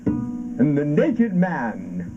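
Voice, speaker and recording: A high-pitched, fervent theatrical orator. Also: male voice, huge pitch swings, over music, exaggerated voice. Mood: solemn, incantatory. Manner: declamatory, halting, with drawn-out words. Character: fervent, accusing male orator of a surreal rite. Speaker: stage actor. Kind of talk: theatrical avant-garde radio play recitation. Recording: dull lo-fi stage performance recording.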